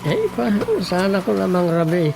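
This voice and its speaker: A man's voice: high-pitched, normal speed, creaky. An actor playing a tipsy man making excuses at home in a comic radio drama, with an Ilocano accent. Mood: tipsy, sheepish. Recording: music behind the voice.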